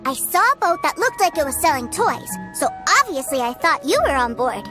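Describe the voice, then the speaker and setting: A woman's voice: high-pitched, very animated, fairly quick. A woman voicing an innocent, cheerful, trusting little boy in a video game, earnest and wide-eyed. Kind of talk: animated video game character acting. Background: background game music.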